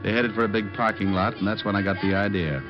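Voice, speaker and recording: A man plays a cool hardboiled private eye in a vintage radio drama. His low voice is wry and clipped, with loud music behind it on a muffled recording. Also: fairly even intonation, quick pace, lightly acted. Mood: wry, tense. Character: cool, hardboiled male private detective narrating his case. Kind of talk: old-time radio noir narration and acting.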